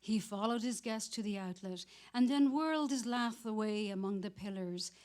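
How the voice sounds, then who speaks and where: A woman's voice: medium pitch, normal speed, a little mellow. A woman narrator giving a scripted dramatic reading of literary prose.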